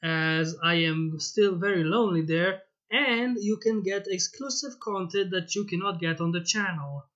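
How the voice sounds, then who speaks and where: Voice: mid-range voice, unhurried, hardly any pauses, a bit bright, muffled recording. A fan fiction narrator reading a scripted story aloud, with a channel host's direct address. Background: music.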